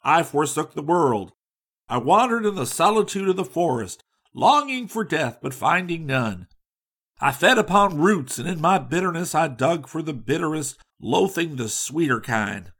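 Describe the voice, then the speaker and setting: A man's voice: fairly high pitch, lively intonation, normal speed, a bit bright, breathy edge, voice pushed up, exaggerated voice. A man voicing a lovelorn young suitor, bitter and defiant, declaiming in a mock-heroic, archaic storybook manner. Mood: anguished.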